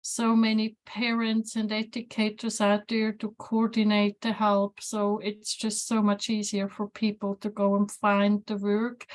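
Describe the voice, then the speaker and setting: A woman in her forties from Switzerland with a Swiss accent, earnestly describing her therapy work on a video call, searching for words. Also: fairly high pitch. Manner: earnest, searching for words, slightly non-native phrasing. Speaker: guest therapist. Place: home room with shelves, indoors. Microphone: laptop webcam on video call.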